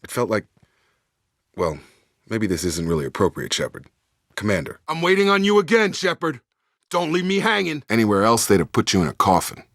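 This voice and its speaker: Deep-voiced, no-nonsense space soldier. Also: male voice, wildly swooping pitch, fairly quick, a bit bright, no room sound, studio quality, heavily acted. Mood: earnest, reserved, then stern, urgent. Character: steady, no-nonsense male space soldier, loyal and guarded. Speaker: video game voice actor. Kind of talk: video game character dialogue.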